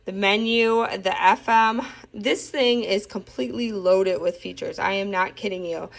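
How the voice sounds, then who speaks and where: A woman's voice: medium pitch, a little slow, gravelly, noisy background. Chatty woman giving a casual product review. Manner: chatty, informal, enthusiastic.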